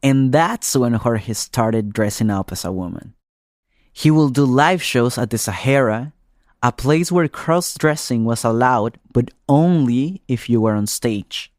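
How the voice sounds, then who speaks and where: A man narrating a scripted documentary story as a reporter, in a measured, explanatory way. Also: mid-range voice, expressive, darkish tone, no room sound, studio quality. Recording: faint music bed.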